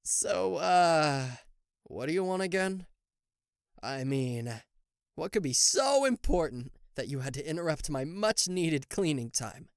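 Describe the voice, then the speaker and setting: A man's voice: a little high, big pitch swings, a little slow, dry recording, clean studio sound. A man playing a cocky, easily flustered king of hell in an ASMR roleplay, sarcastic, indignant and theatrical, speaking to the listener. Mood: teasing.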